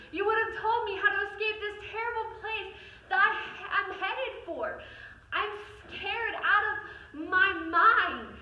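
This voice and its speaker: A woman playing a frightened, accusing high school girl who has died, in a halting, pleading dramatic monologue. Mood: hurt, accusing, then terrified, pleading. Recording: echoey room, far mic, dull lo-fi.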